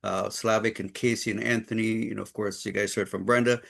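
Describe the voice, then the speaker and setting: A man from the Caribbean hosting an informal online webinar, upbeat and effusive, in a wordy, halting way. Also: lowish voice, flat delivery, vocal fry, fairly bright tone. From Trinidad and Saint Croix, Caribbean. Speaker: host and trainer of online group call.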